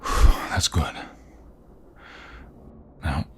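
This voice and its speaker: A man acting a protective mobster husband in an ASMR romantic roleplay, tender but fierce when threatened, with a soft, breathy voice that often drops to whispers. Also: drawn-out words, slight background noise. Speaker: voice actor.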